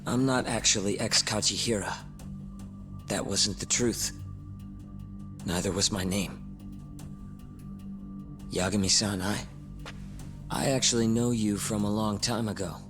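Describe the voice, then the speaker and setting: A man's voice: lowish voice, steady tone, lightly acted. An actor in an English game dub playing a sorrowful young man, hesitant and earnest, with music behind. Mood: remorseful, confessional. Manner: hesitant, earnest, halting confession. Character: young man confessing his true identity, sorrowful, avenging brother. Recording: voice over loud music.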